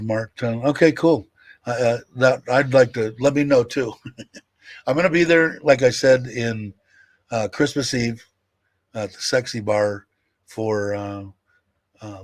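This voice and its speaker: Man, 60s, low voice, dry close mic, rambling candid livestream chat. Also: no echo. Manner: rambling, candid, with fillers and laughs. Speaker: livestream host. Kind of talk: casual livestream chat, reading viewer comments.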